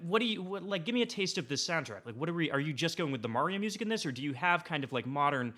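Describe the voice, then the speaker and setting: A man chatting casually as a podcast panelist, phrasing his thoughts in a halting, circling way. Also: on the high side, very animated, pushed and bright.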